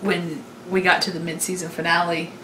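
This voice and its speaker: A woman's voice: lowish voice, little pitch movement, normal speed, sharp tone. Chatty informal woman, echoey room sound. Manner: informal, conversational, jokey. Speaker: podcast co-host. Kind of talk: casual unscripted chat about TV. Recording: echoey room, background noise.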